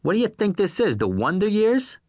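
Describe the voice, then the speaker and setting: A man's voice: lowish voice, a little fast, a bit bright, no room sound, clean studio sound. A man voicing an irritable television producer who talks in a testy, exasperated way.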